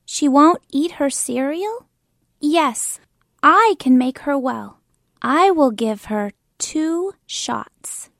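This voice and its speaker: A woman's voice: sits high, lively intonation, very slow, gravelly, no echo, studio quality, pitched above the performer's own voice, full character voice. A woman doing a bossy little girl. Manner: firm, emphatic, a little louder than the narration.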